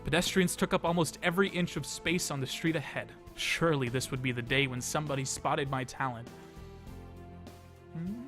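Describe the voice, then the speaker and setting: A man's voice: mid-range voice, normal speed, a bit bright. A man reading a visual novel aloud as a let's play host, dramatizing the story and dropping in casual asides. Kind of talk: expressive read-aloud narration of a visual novel. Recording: music behind the voice. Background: game music.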